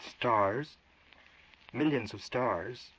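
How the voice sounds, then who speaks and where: An actor playing a shaken young man who speaks haltingly in an old radio drama. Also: mid-range voice, a bit bright, slightly rough, exaggerated voice. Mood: frightened. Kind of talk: 1940s radio drama acting. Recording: dull old radio recording.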